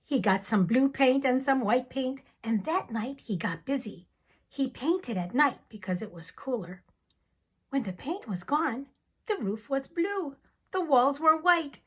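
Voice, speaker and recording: Teacher, woman, high and very animated, slow dramatic storybook reading. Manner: expressive, theatrical storytelling. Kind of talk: dramatic picture-book reading.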